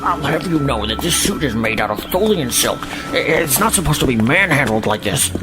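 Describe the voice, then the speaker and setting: A man's voice: medium pitch, big pitch swings, fairly quick, loud music behind the voice, lightly acted. A man playing a flustered, weaselly alien trader who stammers and blusters in a sci-fi audio drama. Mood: indignant.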